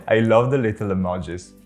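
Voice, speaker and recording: Italian-accented man, low voice, chatty. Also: measured pace. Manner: amused, chatty, laughs often. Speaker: language teacher reacting to a video. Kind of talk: casual reaction commentary.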